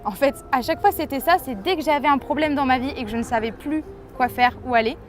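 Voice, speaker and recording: A woman's voice: high voice, quick pace, faint music behind. A woman in her twenties from France, giving an earnest, hesitant personal faith testimony to the camera. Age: 20s. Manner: earnest, hesitant, reflective storytelling.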